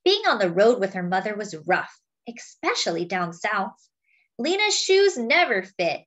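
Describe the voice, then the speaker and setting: A woman reading a children's picture book aloud as a story-time host, with deliberate phrasing for young listeners. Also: mid-range voice, fairly bright tone, lo-fi sound.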